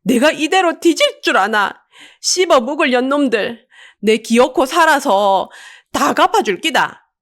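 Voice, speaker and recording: Woman acting a feisty, sharp-tongued Busan woman. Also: high-pitched, expressive, unhurried, voice pushed up. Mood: vengeful. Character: feisty, vengeful down-and-out betrayed middle-aged woman.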